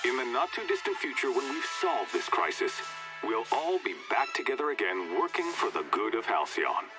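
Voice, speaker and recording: An actor playing a pompous male corporate chairman who delivers smooth announcements and snaps into profane outbursts. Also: medium pitch, very animated, normal speed, natural voice. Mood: reassuring. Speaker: corporate chairman addressing the colony. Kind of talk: game voice acting with outtakes. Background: game music.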